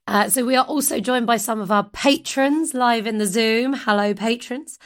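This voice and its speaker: A woman with a slightly croaky voice, chatting casually as a podcast host. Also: a little high, moderate pace.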